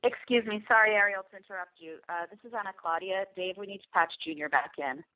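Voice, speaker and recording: A woman's voice: mid-range voice, quick pace. A woman interpreting on a press call, heard over the telephone. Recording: narrow telephone line.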